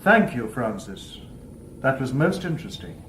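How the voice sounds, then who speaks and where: An actor playing a polite, logical humanoid robot detective in a radio drama, speaking formally and precisely. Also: male voice, mid-range voice, sing-song, moderate pace, fairly bright tone, echoey room, slight background noise. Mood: calm, inquiring. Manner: formal, precise wording without contractions.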